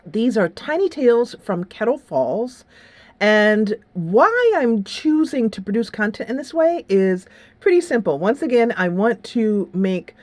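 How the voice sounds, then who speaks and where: A woman's voice: a little high, very animated, measured pace, darkish tone, dry recording. A woman hosting a game channel, talking casually and playfully in slangy, informal solo commentary. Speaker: game reviewer and channel host.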